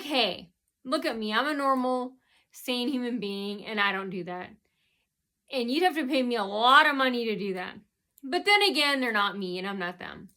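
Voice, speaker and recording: A woman's voice: medium pitch, bright, forceful tone. A woman from the United States talking in a rambling casual monologue, full of ums and uhs and constantly circling back with "so anyway.". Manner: meandering, keeps restarting with "so anyway"; heavy fillers, um and uh. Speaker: vlogger.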